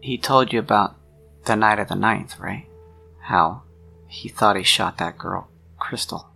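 A man playing a troubled, protective friend of a missing woman in a role-play drama, speaking in a halting, deliberate, sincere way with a gravelly voice. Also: fairly low pitch, pushed and bright, full character voice. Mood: guarded, serious. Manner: halting, deliberate, pausing mid-sentence. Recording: music under the voice.

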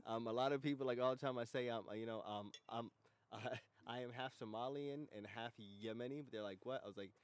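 A man's voice: mid-range voice, fast talker, a little hiss or hum. An American man hosting a casual comedy podcast, chatting in a slangy, profane, irreverent way. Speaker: podcast host.

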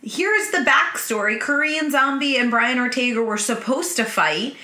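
A woman co-hosting a podcast with a bright, fairly high voice who teases her partner and laughs easily. Her voice carries a roomy echo. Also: animated. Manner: teasing, quick to laugh. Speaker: podcast co-host. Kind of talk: casual podcast chat.

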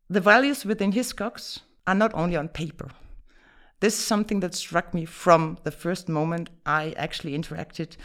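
A woman whose first language is German, answering podcast interview questions in English in a measured, businesslike way with frequent fillers. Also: lowish voice. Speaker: insurance executive guest.

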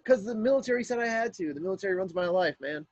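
A man's voice: high-pitched, lively intonation, fast talker, no echo, dull audio. A man in casual podcast chat, joking crudely, calling in from a camper trailer. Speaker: podcast guest.

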